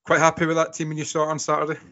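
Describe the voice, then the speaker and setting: A man's voice: on the high side, monotone, racing, fairly bright tone. A man hosting a casual football podcast, putting friendly questions to his panel. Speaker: podcast host. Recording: dull lo-fi podcast audio.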